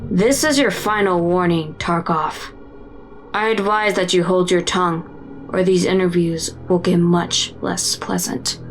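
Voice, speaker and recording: A woman's voice: on the low side, measured pace, faint music behind. A woman playing a cold, stern intelligence officer in an audio drama, speaking in a formal, controlled way. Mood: stern warning. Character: cold, stern female military intelligence interrogator.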